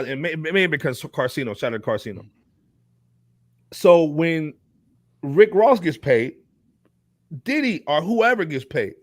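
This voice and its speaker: Dry-sounding, higher-pitched man talking hip hop. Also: dry recording. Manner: animated slangy talk with repeated check-in phrases. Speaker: talk show panelist. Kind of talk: casual hip hop commentary.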